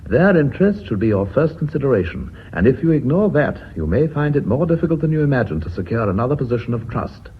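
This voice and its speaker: An actor playing a blunt, authoritative company chairman in a radio drama, with an English accent and formal, measured, courteous speech. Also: mid-range voice, very animated, husky. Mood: formal, firm. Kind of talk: BBC radio drama acting. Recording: muffled phone-like old radio recording, hiss.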